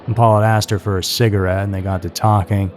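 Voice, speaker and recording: A man's voice: fairly low pitch, level tone, fast talker, a little mellow. A man reading scripted true-crime narration as a narrator. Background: music.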